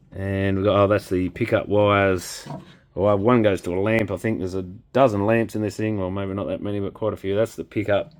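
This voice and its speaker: A man with an Australian accent giving casual, unscripted hands-on commentary as a repairer, musing in an understated way. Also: fairly low pitch. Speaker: electronics repairer presenting his channel.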